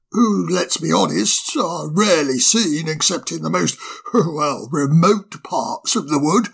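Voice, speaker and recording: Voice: medium pitch, creaky, breathy edge, full character voice. A storyteller voicing a kindly, pompous old male owl professor who chuckles and stammers fondly. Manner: chuckling, hesitant, fond of "my dear boy". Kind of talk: storybook reading.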